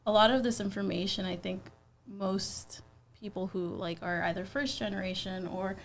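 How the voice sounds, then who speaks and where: A woman's voice: medium pitch, steady tone, moderate pace. A woman joining a casual podcast conversation, chatty and full of fillers.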